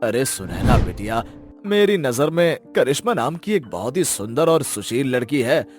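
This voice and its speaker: Casting: man playing elderly village uncle, familiar and kindly, animated intonation, cartoon tale with soft music. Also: medium pitch, normal speed, natural voice. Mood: genial, coaxing. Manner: familiar, avuncular. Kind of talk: Hindi animated moral story dialogue.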